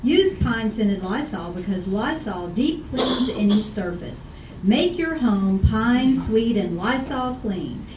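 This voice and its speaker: A woman's voice: fairly high pitch, lively intonation, slow pace, recorded from a distance. A woman announcer reading a scripted old-time radio advertisement. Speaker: commercial announcer. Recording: roomy, noisy old radio, off mic.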